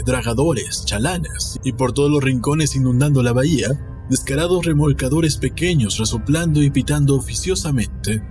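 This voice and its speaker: A man reading a scripted story in a dramatic narration style, with music behind him. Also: medium pitch. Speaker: audiobook narrator. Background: background music.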